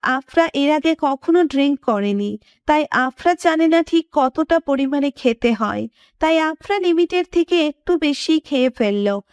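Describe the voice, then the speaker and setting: Woman, story narrator, high voice, scripted delivery, close dry recording. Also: lively intonation, measured pace, no room sound.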